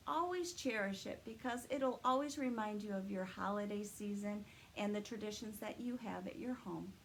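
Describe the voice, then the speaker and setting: Female children's librarian, high voice with big swoops, sing-song and exaggerated for little kids, slight room noise. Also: low-quality recording. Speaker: children's librarian, story time host. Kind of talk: children's story time, talking to young viewers and a puppet friend. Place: indoors in a library.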